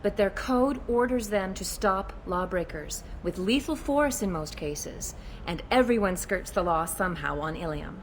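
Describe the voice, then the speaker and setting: Wary female alien cop for a sci-fi game. Frank, a bit exasperated, over noisy rumble. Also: lively intonation, a little slow. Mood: frank, cautious. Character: wary, plain-spoken female alien police officer. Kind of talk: video game acting.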